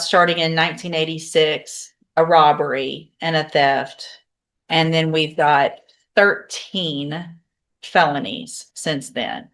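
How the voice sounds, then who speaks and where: A woman's voice: low voice, fairly bright tone. An American woman speaking as a judge in court, plain-spoken, firm and formal in her delivery. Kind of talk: courtroom sentencing and docket proceedings.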